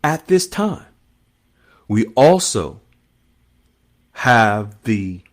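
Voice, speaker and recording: A man hosting an online finance news monologue, speaking in short emphatic phrases and repeating key terms as he explains them to followers. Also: medium pitch. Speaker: host of an online currency news channel.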